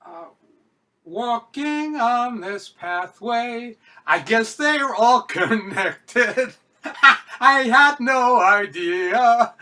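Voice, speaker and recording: Brief: man participant, bright voice, very slow and musing, on a video call. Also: very high-pitched, animated. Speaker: workshop participant.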